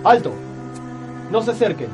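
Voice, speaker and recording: An actor playing an armed soldier in a radio drama, stiff and official, coldly obedient to orders. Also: male voice, high-pitched, big pitch swings, normal speed, over music. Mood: commanding.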